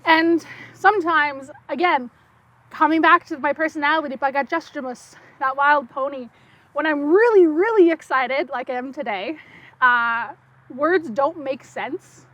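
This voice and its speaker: A young woman speaking English with a slight French accent, giving an excitable conference talk with quick bursts and many fillers. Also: high voice, no room sound, muffled recording. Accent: French-tinged Canadian English. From Saskatchewan, Canada. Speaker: guest speaker.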